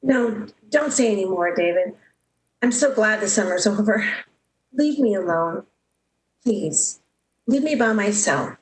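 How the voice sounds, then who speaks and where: A woman's voice: medium pitch, expressive, measured pace, long pauses, lightly acted. A woman playing a proud, lovestruck young woman in an emotive, melodramatic 1930s-style radio drama. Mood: hurt, proud. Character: lovestruck, proud young switchboard operator, heartbroken. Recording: roomy echo, background noise.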